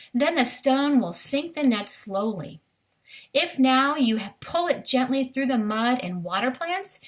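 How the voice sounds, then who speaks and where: A woman with a fairly high voice reads a nature book aloud for children. She speaks to her young listeners directly, as a teacher would in a lesson. Now and then she adds a short aside of her own to the written text. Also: fairly bright tone.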